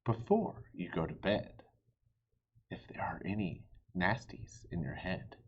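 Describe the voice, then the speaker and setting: Male children's book reader: very raspy-breathy, slow and deliberate, theatrical with hushed dips. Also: sits low, in a whisper, muffled recording. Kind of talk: animated read-aloud storytelling for children.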